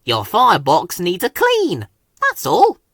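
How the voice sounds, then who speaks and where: A man acts out an eager young male tank engine from a children's storybook, pitched up and swinging widely. He is bossy and full of his own importance, stretching his words for emphasis. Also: wildly swooping pitch, unhurried, fairly bright tone, dry recording, studio quality, heavily acted. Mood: cheerful, reassuring.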